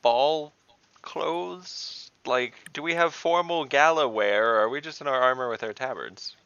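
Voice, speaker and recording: A man's voice: medium pitch, measured pace, bright, forceful tone, smooth, no room sound. A man chatting casually as a player in an online tabletop game. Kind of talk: casual chat. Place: online voice call. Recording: dull lo-fi online call, keyboard typing.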